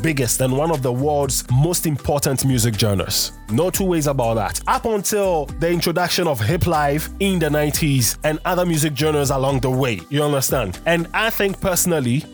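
A man's voice: a little high, expressive, moderate pace, hardly any pauses, soft background music. A man with a Ghanaian accent hosting a podcast, talking straight to his listeners in a chatty way with little asides. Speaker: podcast host. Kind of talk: casual podcast monologue.

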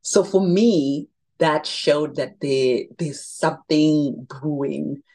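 Husky low-voiced woman, animated. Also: takes it slowly. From Zimbabwe. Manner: animated storyteller, laughs often. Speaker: guest, makeup brand founder. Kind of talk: casual interview chat.

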